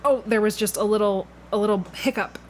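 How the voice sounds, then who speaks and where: A woman's voice: mid-range voice, fairly quick. A woman hosting a podcast, interviewing in a casual, conversational way and thinking aloud as she goes. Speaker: podcast host. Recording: clear background noise with room ambience.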